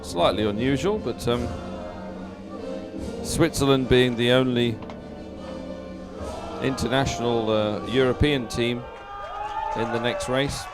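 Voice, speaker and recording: A man's voice: medium pitch, slow pace, pauses often, over music. A man giving live, unscripted sports commentary in a chatty, hesitant, explanatory way.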